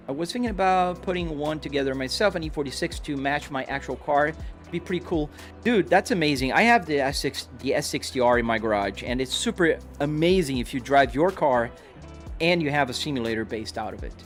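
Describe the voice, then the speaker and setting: Man, native Portuguese speaker from Brazil, slightly high voice, casual livestream chat, answering viewers, clear music in background. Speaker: livestreamer playing a driving simulator. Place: home office sim rig. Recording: music playing behind the voice. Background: music, car engine sounds from game.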